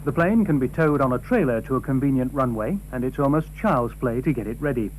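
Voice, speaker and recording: A male reporter delivers a scripted feature voice-over at a medium pitch. There is faint hum and noise from an old broadcast recording. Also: fairly quick, a little mellow, low-quality recording. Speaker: news reporter.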